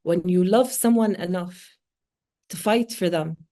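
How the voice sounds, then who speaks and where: Mellow low-voiced woman, native Arabic speaker. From born in Oman, Palestinian. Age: 40s. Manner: earnest, measured, with frequent pauses and fillers. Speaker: guest speaker, multimedia artist. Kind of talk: reflective interview talk.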